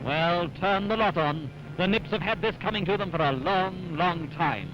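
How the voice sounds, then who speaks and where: A man's voice: high-pitched, normal speed, lo-fi sound, background noise. A man narrating a 1940s wartime newsreel in a dramatic, punchy, rousing way, on a vintage soundtrack. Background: music.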